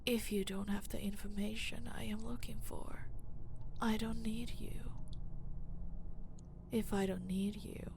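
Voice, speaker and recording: A woman playing a menacing, dominant mafia boss in an audio roleplay, speaking slowly and breathily in a condescending, teasing way. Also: mid-range voice, fairly even intonation, background noise. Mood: mocking, condescending. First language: Finnish. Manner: slow, deliberate, condescending, breathy near-whisper. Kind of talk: intimate dramatic audio roleplay.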